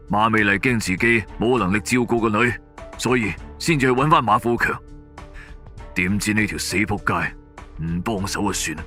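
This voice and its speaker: Man voicing indignant informant, Cantonese accent, blunt, bitter, sharp tone, suspense audio play, music underneath. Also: lowish voice. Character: indignant, foul-mouthed informant recounting a family wrong. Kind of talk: suspense audio drama acting.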